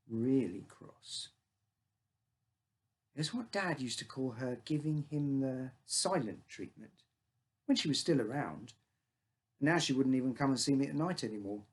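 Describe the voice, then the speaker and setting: British man reading a story aloud. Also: medium pitch. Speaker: author reading own short story. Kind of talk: scripted story narration, first person as a child.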